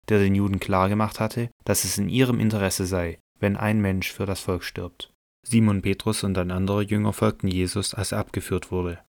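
A man narrating a scripted Bible audio drama in a measured, sober way. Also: fairly low pitch, no room sound, studio quality.

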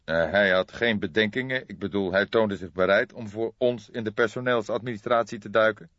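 A man voicing an old, grey-haired police detective, patient and measured, in an audiobook. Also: fairly low pitch, fairly bright tone, dry recording, voice pushed up, lightly acted. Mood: thoughtful. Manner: measured, thoughtful, hesitant hums. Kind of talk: audiobook character dialogue. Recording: dull lo-fi recording.

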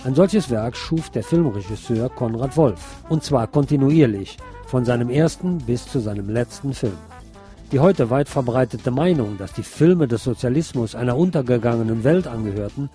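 A man hosting a radio programme, reading scripted narration in a measured, deliberate way. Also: medium pitch, dark tone, no room sound, studio quality. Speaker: radio show host.

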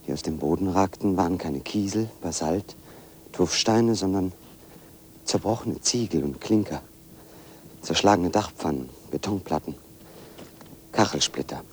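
An actor playing a solitary wanderer, narrating in a measured, observant, literary way for a radio play. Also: male voice, deep voice, slight background noise. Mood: sober, reflective. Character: solitary male wanderer narrating his walk in detail.

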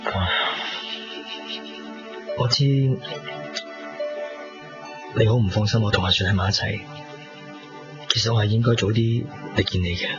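A man's voice: lowish voice, unhurried, pauses often, close to an everyday voice. A man playing an earnest, polite young suitor in a Hong Kong Cantonese radio drama, subdued and hesitant. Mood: polite, awkward. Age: young adult. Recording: dull 1990s radio recording, music behind.

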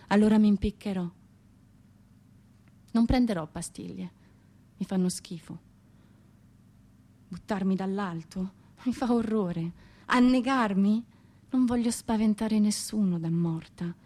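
An actress playing an anguished, defiant Russian woman poet, lonely and destitute, in a theatrical radio monologue with dramatic, declamatory phrasing and deliberate pauses. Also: medium pitch, darkish tone, close to an everyday voice. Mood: bitter, resolute.

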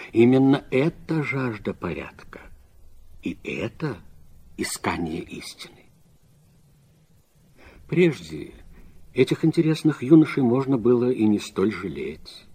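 An actor playing a grave, thoughtful man musing on youth in a literary radio drama, speaking in a measured, deliberate, philosophical way. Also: medium pitch, mellow, husky.

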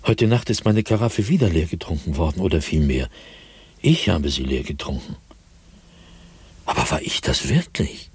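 An actor playing a tormented man sliding into madness, recounting his nightmares as a diary in a radio drama, in a brooding, halting manner that swings between hushed and agitated. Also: rumbling low, sing-song, husky, no echo, heavily acted. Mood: despairing, frightened. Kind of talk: dramatic radio play monologue.

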